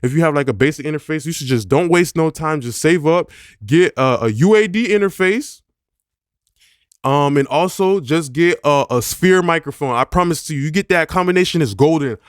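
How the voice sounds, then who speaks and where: A music producer talking gear with a man's fairly high-set voice, loose and slangy, dropping plenty of fillers as he goes. The sound is dry and close, with no room around it. It comes across as an informal review chat to camera. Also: normal speed, clear, resonant tone, no room sound. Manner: loose, conversational, many "you know" fillers. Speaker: music producer and mixing engineer.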